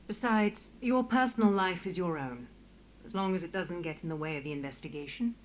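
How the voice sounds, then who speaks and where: A woman's voice: on the low side, animated, a little fast, natural voice, rough recording. An actress playing a principled, dignified marshal in a video game, formal and precise in her wording. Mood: dignified, firm. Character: principled, dignified female marshal, firm and proper.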